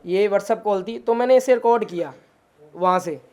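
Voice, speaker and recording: A man's voice: high-pitched, moderate pace, a little mellow. A man giving an insistent, repetitive spoken account of how he was wronged. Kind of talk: spoken account of threats received.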